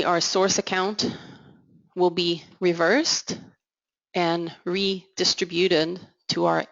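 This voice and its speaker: A woman giving a measured, explanatory software product demo as voice-over, slowing down on key terms. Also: lowish voice, gravelly, no room sound, dull audio. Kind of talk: scripted product demo narration.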